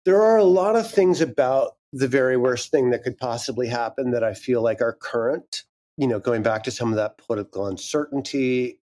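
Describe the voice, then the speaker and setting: Thoughtful male creator, mid-pitched, talks through ideas aloud. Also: a little fast. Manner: reflective, animated, thinks aloud. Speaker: audio drama creator and guest. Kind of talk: interview conversation.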